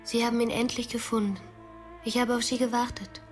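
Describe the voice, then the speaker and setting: An actress playing a mysterious young mother in a radio drama, speaking softly and distantly. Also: fairly high pitch, little pitch movement, brisk, soft background music, natural voice. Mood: eerie.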